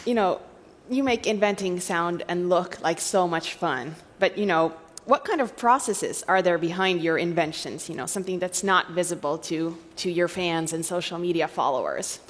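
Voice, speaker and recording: A woman's voice: lowish voice, moderate pace. A woman hosting a live stage interview, asking questions in an enthusiastic, encouraging way. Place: round live stage, reverberant hall. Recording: long hall reverb from a live stage.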